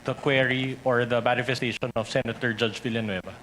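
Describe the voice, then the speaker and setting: A Filipino man speaks as counsel in cross-examination, with a medium-pitched voice. His questioning is formal and halting, and the hearing recording carries some faint noise. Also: fairly even intonation, a bit bright, low-quality recording. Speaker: defense lawyer cross-examining.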